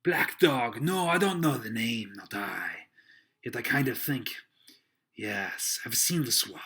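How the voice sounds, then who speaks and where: A man voicing a jovial but sly one-legged pirate innkeeper in a storybook reading, loud and domineering, with folksy seafaring talk. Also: on the low side, lively intonation, slow pace, husky, exaggerated voice. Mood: scheming, excited.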